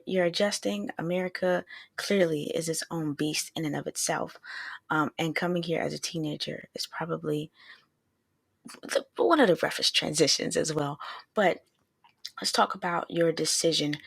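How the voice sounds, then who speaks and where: A woman's voice: low-pitched, unhurried, creaky, husky, dry recording. A woman hosting a podcast interview, speaking in a casual, upbeat way with slang. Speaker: podcast host.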